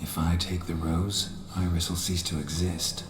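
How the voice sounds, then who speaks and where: An actor playing a gruff, stoic monster hunter in a video game, speaking in terse, flat, gravelly lines. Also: male voice, low voice, normal speed, natural voice. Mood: grave, resolute. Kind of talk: video game dramatic acting. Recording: roomy echo, noisy game ambience.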